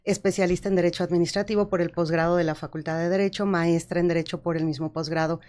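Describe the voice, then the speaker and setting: A lower-pitched woman. She speaks in a formal, courteous and methodical way, as a judge and academic talking on a panel. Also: fairly even intonation, quick pace, darkish tone. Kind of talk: panel talk.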